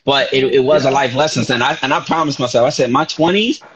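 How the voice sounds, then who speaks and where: A man's voice: mid-range voice, fast, fairly bright tone, poor audio. A man in his thirties hosting a casual talk show, chatting loosely with frequent restarts and slang. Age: 30s. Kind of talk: casual chat.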